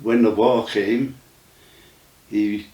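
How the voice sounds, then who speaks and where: Guy in his 90s telling old stories, keeps restarting, low voice, echoey room. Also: steady tone, dark tone, lo-fi sound. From Wales. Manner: halting, with many restarts and fillers. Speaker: interview guest. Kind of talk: casual storytelling of memories. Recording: roomy sound from a distant mic.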